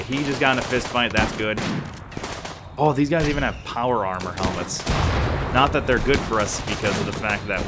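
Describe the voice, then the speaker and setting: A man's voice: lowish voice, very noisy. A man rambling casually as he comments on the video game he is playing. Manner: chatty, rambling, thinks aloud. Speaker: let's play gamer.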